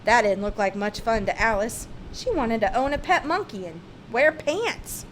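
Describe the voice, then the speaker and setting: A woman reads a picture book aloud in a fairly high voice. Her phrasing is expressive and emphatic, suited to children's narration. Faint noise sits behind her in the recording. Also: moderate pace, rough recording.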